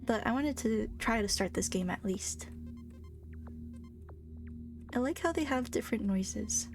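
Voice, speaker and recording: A young woman streamer in college chatting casually while playing a game, soft-spoken and fairly monotone. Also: a little high, unhurried. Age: young adult. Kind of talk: casual live-stream chat while gaming. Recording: game music playing behind. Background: game music.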